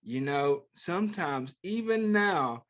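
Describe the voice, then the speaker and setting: A man's voice: a little high, expressive, slow pace. A man hosting story time, reading a picture book aloud to children and adding simple, encouraging asides and questions. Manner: simple, encouraging, instructive phrasing aimed at young children.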